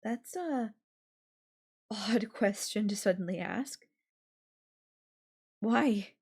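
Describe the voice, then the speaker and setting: A woman playing an obsessive, possessive girlfriend in an intimate audio roleplay, sweet and coaxing with a menacing edge and lingering pauses. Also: medium pitch, darkish tone, slightly rough, full character voice. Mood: wistful, bitter.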